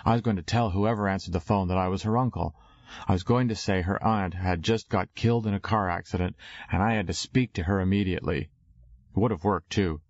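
Very deep-voiced male narrator, slangy. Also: darkish tone, dry recording, dull audio. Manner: slangy, wry first-person storytelling. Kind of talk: audiobook narration.

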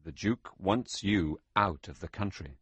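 A man voicing a menacing, coaxing henchman in an audiobook, soft-spoken and husky. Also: low voice, unhurried, no room sound, full character voice. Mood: coaxing menace. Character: smooth-talking menacing henchman of a duke. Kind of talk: audiobook character voice.

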